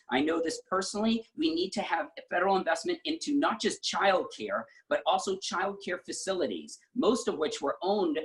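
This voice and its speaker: Higher-pitched man, emphatic political candidate. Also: a bit bright, poor audio. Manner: emphatic, point-by-point. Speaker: congressional candidate. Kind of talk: structured political forum answer.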